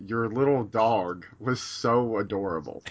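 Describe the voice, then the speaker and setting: A man's voice: on the low side, unhurried. A man hosting a podcast interview, enthusiastic and often stammering on restarts. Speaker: podcast host. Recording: dull lo-fi audio.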